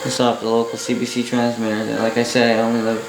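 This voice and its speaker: A Canadian man casually demonstrating gear in an unscripted, halting way, thinking aloud as he goes. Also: medium pitch, monotone, music in the background. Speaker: hobbyist presenter demonstrating a vintage boombox. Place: indoor room at a workbench by a window. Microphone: camera mic a short distance away. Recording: roomy, with noise and clicks.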